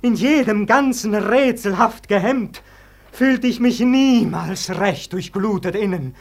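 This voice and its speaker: Einen gequälten Grübler, auffallend hohe Stimme. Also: male voice, sits high, slow pace. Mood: bitter, despairing. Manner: theatrical, impassioned, plaintive declamation. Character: anguished, brooding man confronted by Death, full of regret. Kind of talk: classic German radio drama, declaimed verse.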